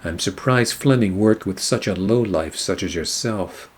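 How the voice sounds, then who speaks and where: A man playing a weary, wry private eye, narrating a noir radio play in a sardonic, measured way. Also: sits low, a little hiss or hum. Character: weary, wry hard-boiled male private eye narrating his case.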